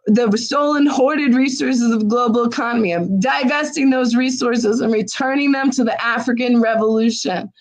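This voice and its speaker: A woman's voice: on the high side, rough recording. A woman hosting a livestream fundraiser, losing her voice, urging viewers with urgent, exhorting talk. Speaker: livestream host.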